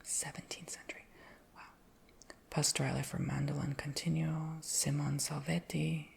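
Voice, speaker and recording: Low gravelly whisper, woman rambling thru her stuff, reads names real slow. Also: little pitch movement, slight background noise. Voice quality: soft whisper with creaky edges. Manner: rambling, casual, fillers like "like"; reads names and titles slowly. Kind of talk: whispered ASMR show-and-tell.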